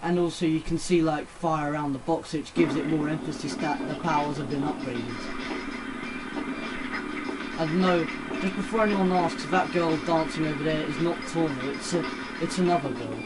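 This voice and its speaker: High-pitched male gamer, music behind. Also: moderate pace, rough recording. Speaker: gamer hosting a let's play. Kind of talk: casual gameplay commentary. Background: video game music.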